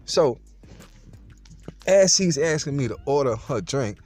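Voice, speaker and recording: Animated storytelling man with street slang. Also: mid-range voice, unhurried, soft background music. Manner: streetwise, playful, boastful slang, addresses listeners directly. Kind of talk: casual storytelling to viewers.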